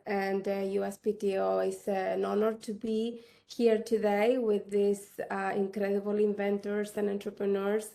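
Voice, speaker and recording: A middle-aged woman from Chile with a Chilean Spanish accent, speaking on a panel as a startup founder, halting and full of fillers. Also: mid-range voice, mellow. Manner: halting, with many fillers and uneven pace. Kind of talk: panel answer, conversational.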